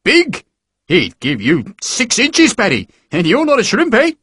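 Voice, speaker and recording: A man's voice: high-pitched, wildly swooping pitch, measured pace, bright, forceful tone, slightly rough, dry recording, clean studio sound, pitched above the performer's own voice, heavily acted. A man doing a hearty wheedling recruiting sergeant. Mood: boastful. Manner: jovial, wheedling, theatrical.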